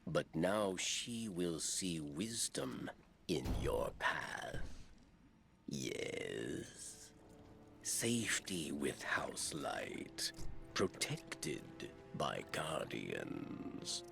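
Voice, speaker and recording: Ancient alien elder, extremely deep and gravelly, very slow terse speech. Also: male voice, sing-song, exaggerated voice. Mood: solemn. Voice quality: gravelly, creaky. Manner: slow, terse, broken phrases. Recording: game soundtrack under voice. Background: music.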